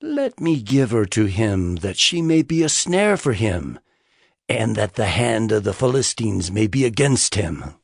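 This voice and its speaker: A man playing a jealous, scheming ancient king in a dramatized Bible story, with slow, deliberate, dramatic delivery. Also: on the low side, very animated, gravelly, husky, no room sound, studio quality, exaggerated voice. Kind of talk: dramatized scripture acting.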